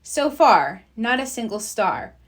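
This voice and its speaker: A woman reads a children's storybook aloud. Her voice sits a little high and swings widely in pitch. She takes her time, narrating with plenty of expression. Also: very animated, slow pace. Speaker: storyteller reading a picture book.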